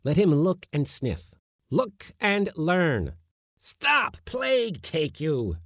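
A man's voice: a little high, big pitch swings, slow, darkish tone, no room sound, clean studio sound, voice pushed up. A man voicing an irascible middle-aged male Latin schoolmaster who blusters and scolds in a raised, strained voice.

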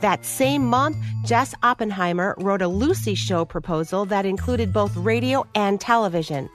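A woman's voice: medium pitch, animated, moderate pace, faint music behind. A woman co-hosting a podcast, reading scripted narration. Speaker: podcast co-host.

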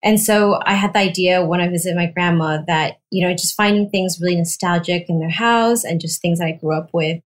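A woman from Taiwan with a slightly low voice, speaking as an interview guest. Her talk is casual and informal, running on in loosely linked clauses as she explains. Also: a little fast, few pauses. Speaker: interview guest, shop owner. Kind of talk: casual chat.

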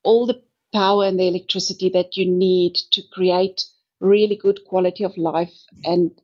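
A South African woman speaking as an interview guest, upbeat and enthusiastic, stopping now and then to think. Her voice sits a little low and comes through a dull, lo-fi remote line. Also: fairly even intonation. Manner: upbeat, enthusiastic, thoughtful pauses. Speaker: guest, energy executive. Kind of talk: podcast interview.

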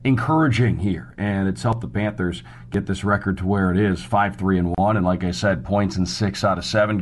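A man hosting a sports show, recapping hockey news in a semi-scripted radio style. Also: lowish voice.

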